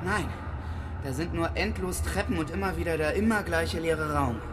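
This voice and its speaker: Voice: medium pitch, moderate pace, noisy background, natural voice. An actor playing an edgy, exasperated young person in naturalistic radio drama dialogue, with colloquial delivery. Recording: music under the voice. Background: music.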